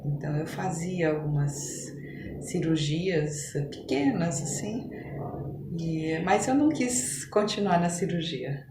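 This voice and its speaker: Low-pitched Brazilian woman in an echoey room. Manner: halting, reflective, often rephrasing. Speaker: podcast guest, physician. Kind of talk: conversational interview. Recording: echoey room with noticeable background noise.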